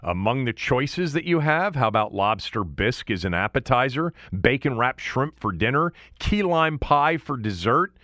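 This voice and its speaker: A man's voice: mid-range voice, expressive, moderate pace, few pauses, bright, forceful tone, no room sound, clean studio sound. A middle-aged man hosting a sports radio show, talking and interviewing in a casual, conversational way.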